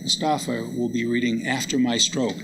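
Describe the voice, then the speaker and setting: A man giving a public lecture, introducing poems and readers in a halting, reflective manner. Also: medium pitch, steady tone, vocal fry. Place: indoor public lecture event. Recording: noisy live lecture room recording.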